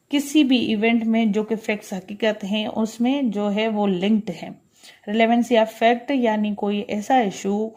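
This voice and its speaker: A woman lecturing in a halting, deliberate way, alternating between reading and explaining, mixing in English terms. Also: a little high, a little mellow. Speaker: law lecturer.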